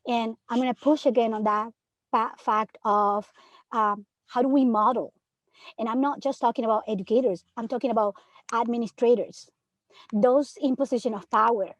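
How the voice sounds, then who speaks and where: A woman's voice: on the high side, fairly even intonation, moderate pace, dry recording. Earnest woman from Peru, dry close sound. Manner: earnest, emphatic, many rhetorical "right?". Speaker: third grade teacher. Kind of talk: panel discussion.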